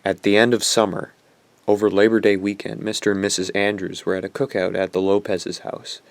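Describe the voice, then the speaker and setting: A man's voice: lowish voice, level tone, brisk, creaky, no echo. A man playing a bitter, guilt-ridden young man who narrates a horror radio drama in a brooding, self-loathing way. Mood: wistful, brooding.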